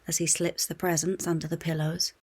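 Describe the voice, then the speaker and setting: A woman reading a children's storybook aloud in an expressive scripted narration for young listeners. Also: sits low, fairly quick, slightly rough.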